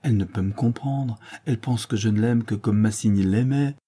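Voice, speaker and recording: A man reading as a brooding ironic gentleman. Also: lowish voice, a little fast, slightly rough.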